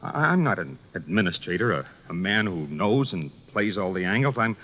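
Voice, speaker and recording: A man's voice: low voice, very animated, moderate pace. A man playing a frightened, humble businessman in a radio drama, speaking hesitantly with stammers. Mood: earnest, meek. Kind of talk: 1950s radio drama acting. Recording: muffled, faintly noisy old radio recording.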